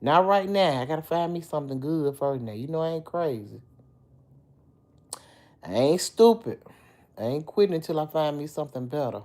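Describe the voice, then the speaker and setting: Middle-aged woman, low deep dark voice, slightly hoarse, chatty livestream host. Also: lively intonation, normal speed, pauses often. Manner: chatty, talks back to commenters by name. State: a little hoarse. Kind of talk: casual livestream chat with viewers.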